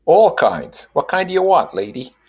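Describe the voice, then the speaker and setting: A man's voice: on the high side, sing-song, moderate pace, a little mellow, slightly rough, pitched above the performer's own voice. A man voicing a gray old street seller in a novel reading, with folksy, wheedling sales patter. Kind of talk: audiobook character reading.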